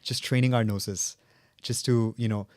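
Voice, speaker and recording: A man's voice: on the low side, steady tone, measured pace, no echo, studio quality. A young man from South India, a native Telugu speaker, telling his story earnestly and reflectively as a guest in a podcast interview. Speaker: guest, fragrance brand co-founder.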